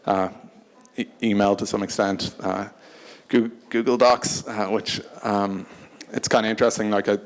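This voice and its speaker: Guy on a panel, low gravelly voice, pretty flat, keeps stopping and restarting. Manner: hesitant, halting, restarts often. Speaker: panelist from a tech company. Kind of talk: unscripted panel discussion.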